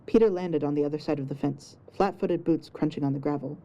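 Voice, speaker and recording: Low, very mellow woman narrator. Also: a little fast, highs cut off. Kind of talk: scripted fiction narration.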